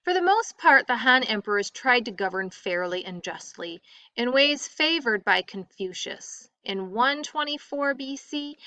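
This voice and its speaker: Bright-voiced woman teacher reading aloud. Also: a little high, no echo, poor audio. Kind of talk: textbook read-aloud for a class.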